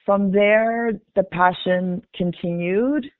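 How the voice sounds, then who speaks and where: A woman in her fifties from Canada, a DJ guest speaking graciously and in a measured way in an interview. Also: medium pitch, dry recording. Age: 50s. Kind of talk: interview conversation. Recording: dry, tinny phone-like line.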